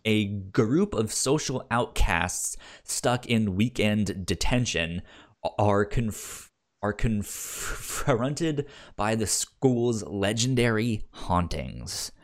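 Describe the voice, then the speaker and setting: Guy podcast host, kinda low voice, takes his time, stumbles and restarts words, just chatting. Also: lively intonation. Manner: halting, often repeats word starts. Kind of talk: casual podcast chat.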